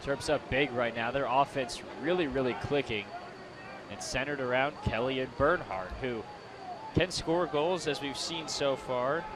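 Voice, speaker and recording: A man's voice: medium pitch, unhurried. American male color commentator, noisy live game. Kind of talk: live lacrosse game analysis. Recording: live game, clear background noise. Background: outdoor game noise.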